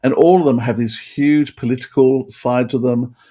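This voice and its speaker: A man's voice: mid-range voice, fairly even intonation, normal speed, dark tone. A middle-aged man hosting a podcast, running through facts and discussing them conversationally. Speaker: podcast host. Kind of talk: conversational trivia rundown and discussion.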